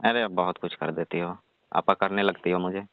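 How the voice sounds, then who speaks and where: A man plays a reluctant young village repairman in a comic call skit. His voice is deep, and he grumbles and refuses in a colloquial way. It sounds dry and narrow, like a phone recording. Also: fairly even intonation, moderate pace, gravelly, no echo. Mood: exasperated. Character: reluctant, exasperated young village electrician fending off advances.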